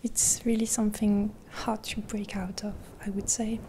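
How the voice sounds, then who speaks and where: A caring woman talks in a hushed, partly whispered voice right up against a sensitive mic. Her tone is mellow and warm, and her pace drifts slowly with soft pauses. A faint hiss sits underneath. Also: mid-range voice, level tone. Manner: gentle, half-whispered rambling with pauses; caring, reassuring. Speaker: ASMR creator. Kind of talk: soft-spoken ASMR roleplay.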